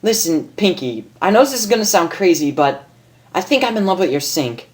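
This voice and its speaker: A woman's voice: fairly low pitch, sing-song, brisk, pitched above the performer's own voice. A woman voicing a brash, nervous, lovestruck female pony from a cartoon, blurting her words in a flustered, informal way.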